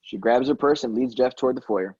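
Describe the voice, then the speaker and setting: A man reads screenplay action lines as a scripted narrator. His tone is relaxed and a little husky. The recording is dry and slightly dull. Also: medium pitch, brisk, dry recording. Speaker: stage-direction reader at a table read.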